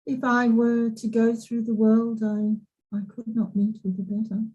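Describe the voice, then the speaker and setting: A woman's voice: on the high side, little pitch movement, normal speed, dark tone, muffled recording, close to an everyday voice. A woman playing a proud, devoted estate housekeeper in a period drama reading, earnest and deferential. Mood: fond pride.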